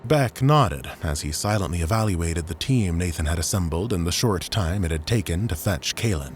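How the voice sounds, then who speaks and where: A man's voice: deep voice, expressive, moderate pace, slight background noise. A man reading scripted dramatic fiction as an audiobook narrator.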